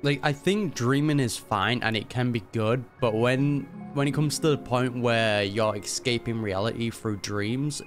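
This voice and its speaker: A man with an average-pitched voice comments casually on a game's story over faint music. He thinks aloud in an informal, musing way, like a reaction streamer. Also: unhurried, hardly any pauses.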